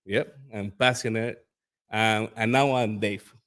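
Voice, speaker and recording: A man's voice: fairly low pitch, fairly even intonation, slow, a bit bright. A man, a Spanish speaker, introducing himself in simple English. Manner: simple, plain phrasing. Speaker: lawyer. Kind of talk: casual self-introduction.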